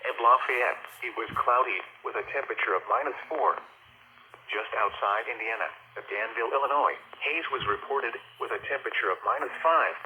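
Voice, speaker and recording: A man's voice: medium pitch, a little fast, pushed and bright, a little hiss or hum, poor audio. A man reading a scripted weather bulletin in a stiff, impersonal, segmented way, heard through a radio speaker.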